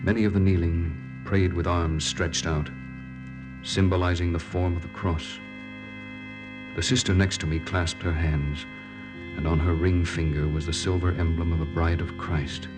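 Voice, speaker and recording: An actor playing an earnest young wartime underground lieutenant in 1940s radio drama style, measured and grave. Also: male voice, low voice, level tone, music in the background, lightly acted. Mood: reflective. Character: earnest male wartime underground lieutenant narrating his mission. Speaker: actor and first-person narrator. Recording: vintage 1940s radio recording.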